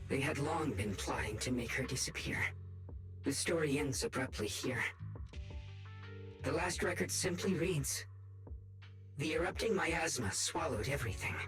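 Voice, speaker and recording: Gentle male bamboo-being storyteller voiced by a man, calm and measured, game cutscene with music behind. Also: mid-range voice, natural voice. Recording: game audio with music under it.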